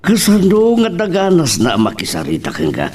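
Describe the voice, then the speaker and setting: A man's voice: fairly high pitch, huge pitch swings, normal speed, background noise. A man with an Ilocano accent playing a stern, nagging family man in an audio drama. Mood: scolding, agitated.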